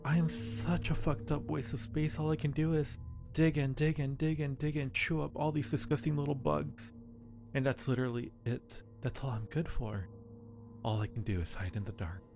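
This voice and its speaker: Game creature, female, hungry and self-loathing, anguished muttering played by a man, slight creak, music beneath. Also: medium pitch, steady tone, moderate pace, natural voice. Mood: bitter despair. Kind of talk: reading game dialogue aloud.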